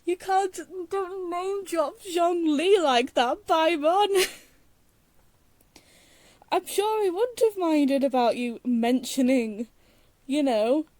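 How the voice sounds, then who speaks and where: A woman's voice: sits high, warm tone, dry recording. A woman giving casual, rambling playthrough commentary in a teasing, exasperated, giggly manner. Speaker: streamer playing a story game.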